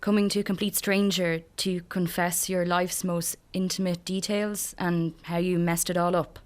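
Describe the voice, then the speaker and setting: A young actress with an Irish accent playing a guarded, wry, depressed woman in therapy, hesitant and deadpan in a naturalistic radio drama. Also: on the low side, moderate pace, lightly acted. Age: young adult.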